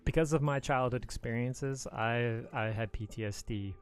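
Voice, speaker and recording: A man's voice: medium pitch, normal speed. Friendly man hosting a livestream. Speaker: stream host. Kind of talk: casual livestream chat.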